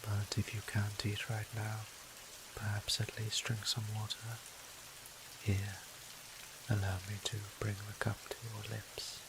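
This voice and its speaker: A man playing a gentle, doting vampire priest and healer in a roleplay audio drama, speaking softly in formal, old-fashioned, courteous phrasing. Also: on the low side, completely flat delivery, unhurried, pauses often, background noise. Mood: attentive, soothing. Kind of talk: scripted roleplay audio drama, addressing the listener.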